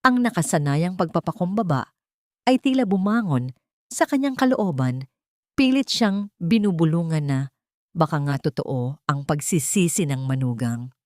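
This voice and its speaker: A woman reading a scripted dramatic story in a solemn, deliberate, emotive way. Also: low-pitched, lively intonation, darkish tone, no echo, clean studio sound.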